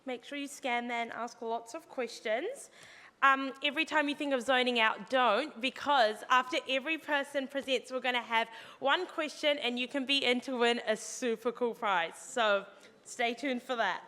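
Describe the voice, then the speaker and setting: Young NZ woman hosting a student evening, high and bright, upbeat, long hall reverb. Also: moderate pace. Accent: New Zealand. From New Zealand. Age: young adult. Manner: upbeat and encouraging. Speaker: student presenter. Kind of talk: presenting to an audience.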